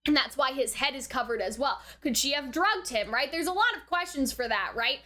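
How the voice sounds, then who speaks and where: A woman's voice: high-pitched, expressive, fairly quick, sharp tone. A woman hosting a reaction video, giving casual, speculative commentary in a chatty, informal way that often checks in with tag questions.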